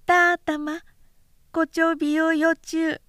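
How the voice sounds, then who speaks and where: Storybook toddler boy, played by a woman: high pitch, lisped syllables, earnest and stubborn. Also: little pitch movement, moderate pace, a bit bright, dry recording, studio quality. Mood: excited, proud. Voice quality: babyish lisping, mangled syllables.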